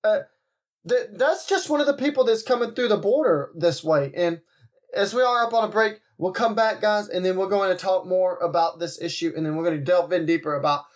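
A man's voice: high-pitched, brisk. A man hosting a commentary podcast, talking in a rambling way with frequent restarts and asides to his listeners. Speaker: podcast host.

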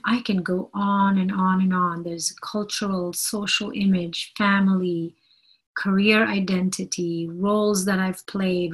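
Slow, husky woman giving a spiritual talk. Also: mid-range voice, rough recording. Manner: deliberate, earnest, phrase-by-phrase delivery with emphatic repetition.

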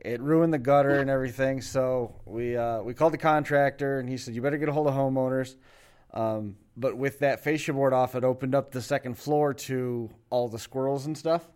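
A man in the middle of his pitch range talks through a long story on a casual podcast. He rambles, restarts his sentences and piles on details. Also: steady tone, a little fast, a little mellow, clear, resonant tone. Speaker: podcast co-host.

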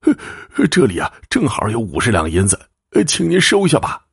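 A man's voice: mid-range voice, wildly swooping pitch, normal speed, creaky, breathy edge, voice pushed up, exaggerated voice. A man voicing a gullible, aggrieved elderly commoner in a storybook tale, with a pleading, hesitant manner. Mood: eager, obliging.

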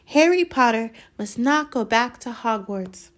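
A woman voicing a small, excitable male house-elf in a storybook, squeaky, reverent and fearful, speaking of himself in the third person. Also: measured pace. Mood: admiring, earnest. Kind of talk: dramatised storybook reading.

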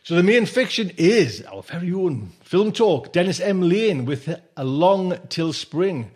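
A man with a higher-than-usual voice hosts a podcast. He talks casually and loosely, wandering through his points and checking in with listeners in an informal, chatty way. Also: very animated, measured pace, a little mellow. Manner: chatty and informal, full of conversational tag phrases. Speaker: podcast host. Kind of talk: casual, rambling podcast chat.

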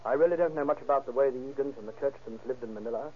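An actor playing a polite gentleman witness with formal wording in an old radio drama. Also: mid-range voice, racing, slight background noise, close to an everyday voice. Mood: courteous. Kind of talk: 1930s radio acting. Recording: muffled old radio recording.

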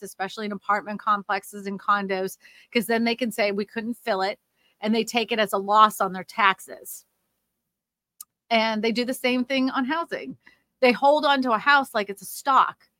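A woman's voice: a little high, pushed and bright, no room sound. A woman from Louisiana hosting a casual livestream about the housing market, with a slightly nasal voice and a rambling way of talking full of fillers. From Louisiana, USA. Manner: chatty and rambling, with frequent fillers and restarts. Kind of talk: casual livestream chat reading comments.

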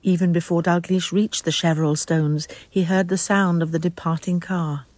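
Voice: mid-range voice, little pitch movement, moderate pace, a little mellow. Dramatic narrator with mid-range voice.

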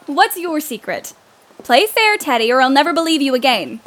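Casting: woman as blunt tomboyish young woman, period audio play, very animated high voice, emphatic delivery. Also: measured pace, exaggerated voice. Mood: guarded. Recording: noticeable background noise.

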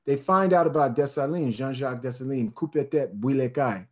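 A man's voice: medium pitch, a little fast, a little mellow. A man speaking passionately about history, building long emphatic lists and often restarting with fillers. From United States. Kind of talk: impassioned talk on history.

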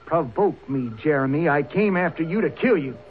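A man's voice: lively intonation, normal speed, natural voice. An actor playing an agitated, hot-headed young gunman in a radio drama, nervous and stammering as he threatens. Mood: menacing. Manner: stammering, high-strung. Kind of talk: old-time radio drama acting. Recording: tinny, hissy old radio broadcast.

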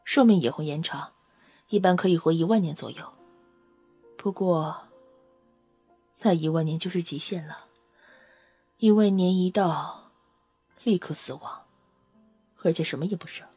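Woman acting a mellow, solemn half-blood beauty. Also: lowish voice, breathy edge, dry recording. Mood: somber, resigned. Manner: solemn, measured lecturing. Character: dark-haired half-blood alien beauty, solemn, wistful expounder. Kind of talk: Chinese audio drama acting. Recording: music under the voice. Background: background music.

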